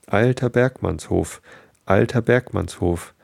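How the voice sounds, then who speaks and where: A man's voice: on the low side, slow pace, vocal fry, darkish tone, husky, no echo, studio quality, full character voice. A man voicing a proud, strutting rooster in a read-aloud story. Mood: crowing. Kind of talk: bedtime story reading.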